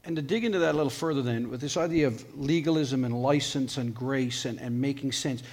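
A man moderating a conference panel, posing a long, animated question to the panelists. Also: medium pitch, fairly quick. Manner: animated, builds up his question at length.

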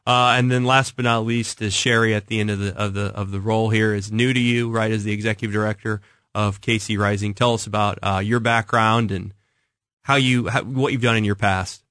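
A man's voice: on the low side, steady tone, fairly quick, a bit bright, dry recording, studio quality. An American man hosting an interview show in a conversational style, introducing guests and asking questions. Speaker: talk show host.

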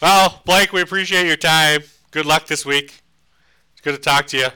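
A podcast co-host with a very bright, smooth voice who laughs easily and keeps the chat loose. He is a man with an easygoing manner. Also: a little high, steady tone, moderate pace. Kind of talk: casual chat.

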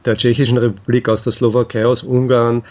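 A man answering in an interview, explaining things in a halting, measured way with frequent hesitations. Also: mid-range voice, level tone, a little mellow.

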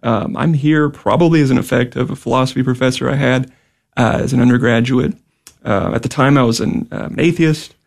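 A man from the Houston area, a guest on a radio interview, speaking in a measured way with some fillers. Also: medium pitch, gravelly. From Houston area, Texas. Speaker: guest, school vice president. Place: radio studio.

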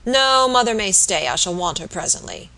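A woman voicing a savage, bullying dying innkeeper with a hoarse growl and a rustic English accent, in an audiobook. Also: mid-range voice, animated, moderate pace, pushed and bright, poor audio. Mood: surly, commanding. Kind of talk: audiobook character voice.

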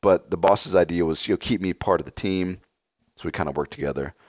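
A man's voice: low voice, brisk, darkish tone, no room sound, highs cut off. A man in his thirties chatting casually as a podcast co-host, blunt and laid-back with a slightly scratchy voice. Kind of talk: casual chat.

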